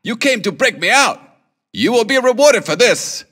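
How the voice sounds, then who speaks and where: Excitable yakuza convict from a game, loud-mouthed, super high voice, all over the place. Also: male voice, moderate pace, full character voice. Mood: pleased, curious. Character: brash, excitable Yakuza prisoner being broken out. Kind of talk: video game character lines.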